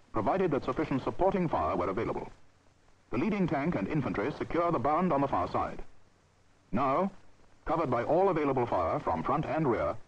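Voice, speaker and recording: A man with a British accent giving formal, authoritative, precisely enunciated scripted narration for a military training film. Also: medium pitch. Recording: dull old film soundtrack, background noise.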